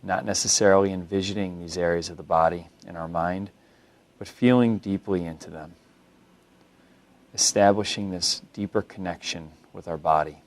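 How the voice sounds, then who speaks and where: A man with a low-pitched voice guides a body scan meditation. He speaks as a mindfulness instructor, calm and unhurried, gently instructing the listener.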